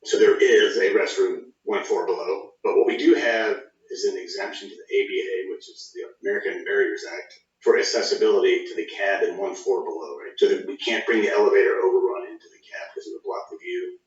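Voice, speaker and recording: A man's voice: on the low side, wildly swooping pitch, a little fast, few pauses. A man giving informal technical explanations as an engineer being interviewed, often saying "you know" and "sort of.". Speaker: government project engineer interviewee. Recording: roomy, distant, dull sound.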